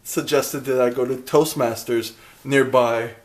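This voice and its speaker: A man in his thirties talking casually to a camera at home in a dark room, chatty and rambling, often cutting himself off mid-sentence. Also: mid-range voice, fairly even intonation, unhurried, reverberant. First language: English. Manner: rambling, chatty, self-interrupting. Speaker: vlogger.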